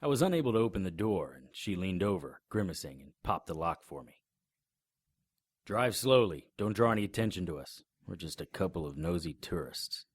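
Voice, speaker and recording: A man's voice: low voice, very animated, moderate pace, breathy edge, no echo. A man narrating and acting the lead in a noir audio drama, dry and wry in manner.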